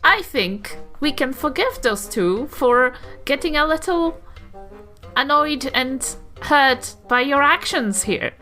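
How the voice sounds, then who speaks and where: Fussy old granny played by a woman, high voice, prim, lecturing, unhurried, tabletop game character. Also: animated, pushed and bright, faint music behind, heavily acted. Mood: patient, reasoning. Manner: prim, scolding yet conciliatory.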